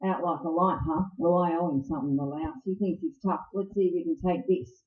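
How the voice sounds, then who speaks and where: A man's voice: fairly high pitch, fairly quick, lightly acted. An actor playing a crude, spiteful young henchman in old radio style, with tough, slangy talk. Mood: vindictive. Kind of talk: 1940s radio acting. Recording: muffled lo-fi sound.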